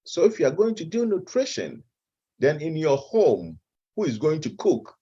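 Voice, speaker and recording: A middle-aged man with a Ghanaian accent, a guest expert answering interview questions slowly, with frequent hesitant fillers. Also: on the high side, big pitch swings, mellow, breathy edge, muffled recording. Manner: measured and slow, with many hesitant "uh" fillers. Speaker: guest expert, nutrition professor.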